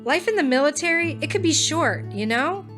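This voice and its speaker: Man as earnest young woman cadet, high and lively. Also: moderate pace, soft background music, full character voice. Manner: wistful, earnest.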